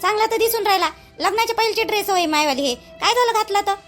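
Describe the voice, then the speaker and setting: A woman's voice: very high-pitched, quick pace, a bit bright, faint music behind. A woman voicing an outspoken, indignant young wife in a cartoon, arguing back in a Vidarbha Marathi accent. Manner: argumentative, rhetorical questions. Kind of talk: cartoon voice acting.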